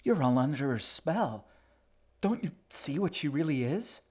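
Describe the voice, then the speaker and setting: A man's voice: a little high, very animated, measured pace, a little mellow, husky, pitched above the performer's own voice, heavily acted. A man voicing an earnest, protective boy in an urgent, pleading way for a story reading. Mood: accusing. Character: earnest, protective boy, brother of a young girl. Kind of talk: audiobook character acting.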